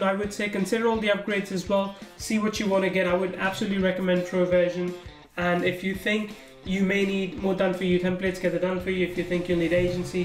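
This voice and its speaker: A man casually walking through a software sales funnel on a screen recording, thinking aloud as he clicks. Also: high-pitched, roomy sound, poor audio. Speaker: software reviewer. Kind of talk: screen-recorded product walkthrough.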